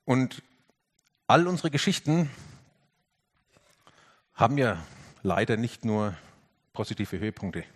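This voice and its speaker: A man giving a reflective sermon, earnest, rising to emphatic lifts and sinking to hushed, quiet passages. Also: medium pitch, very animated, takes it slowly, long pauses, slightly rough. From Franconia, Germany.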